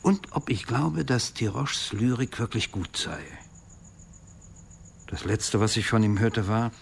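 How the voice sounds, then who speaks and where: Ein Schauspieler spielt im Hörspiel einen bedrückten, nachdenklichen Akademiker, der behutsam berichtet. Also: male voice, on the low side, expressive, unhurried, creaky, slight background noise. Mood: uneasy, reflective. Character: troubled, reflective male academic, recalling a colleague. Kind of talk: radio drama dialogue.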